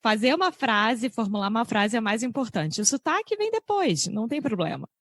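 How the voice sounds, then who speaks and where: Expressive Carioca woman, podcast host. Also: fairly high pitch, a little fast. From Rio de Janeiro, Brazil. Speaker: podcast host, language teacher. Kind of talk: casual podcast conversation.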